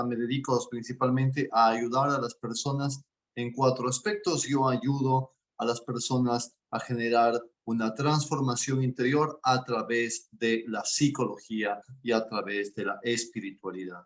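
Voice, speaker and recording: A man, a guest coach speaking in an earnest, enthusiastic way in a casual interview. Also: medium pitch. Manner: warm and earnest, speaks with enthusiasm about faith.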